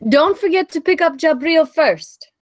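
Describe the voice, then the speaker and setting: A woman's voice: extremely high pitch, lively intonation, a little slow, no room sound. An actress playing a caring, practical wife and mother at a script table read. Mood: gently coaxing.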